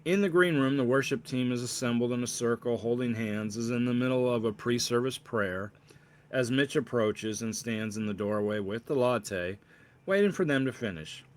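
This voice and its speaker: Male author-narrator, storybook reading, slightly halting, stumbles and corrects himself. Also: medium pitch, a little hiss or hum. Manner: halting delivery with misreadings and corrections. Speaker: author reading own novel aloud.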